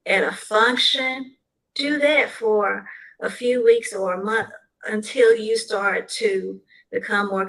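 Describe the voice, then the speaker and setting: Gravelly-voiced woman from the American South. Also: mid-range voice, a bit bright, low-quality recording. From southern United States. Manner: measured, advice-giving, lists points in order. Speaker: guest speaker. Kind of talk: online interview answers.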